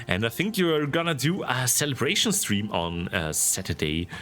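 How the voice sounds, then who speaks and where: A man from Austria with a deep baritone voice and an Austrian accent, chatting casually and giggling as he hosts a game livestream. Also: measured pace. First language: German. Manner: giggly, expressive. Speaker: streamer hosting a game stream. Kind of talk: casual livestream chat while gaming.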